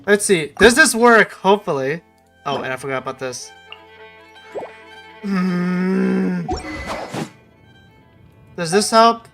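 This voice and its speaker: A woman's voice: fairly low pitch, expressive, takes it slowly, long pauses. A woman streamer giving casual live gaming commentary, exasperated and self-blaming, repeating herself in mutters and outbursts. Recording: game music behind the voice. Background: game music.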